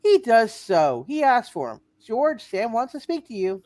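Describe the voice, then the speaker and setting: A woman's voice: fairly high pitch, big pitch swings, unhurried, darkish tone, no echo. A woman playing a coy, teasing young single woman in a hammed-up amateur radio play reading. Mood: bright, pointed.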